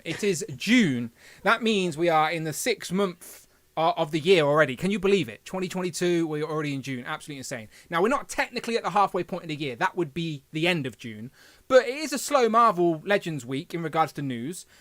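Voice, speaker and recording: A man's voice: a little high, a bit bright, dry recording. A man with a lisp hosting a casual live stream chat, rambling and joking at his own expense. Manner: chatty, rambling, self-deprecating.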